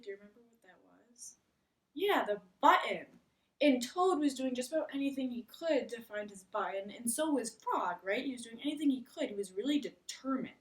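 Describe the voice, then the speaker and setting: A woman teacher leading a read-aloud lesson, speaking expressively to children and putting questions to them. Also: on the high side. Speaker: elementary school teacher. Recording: voice far from the mic.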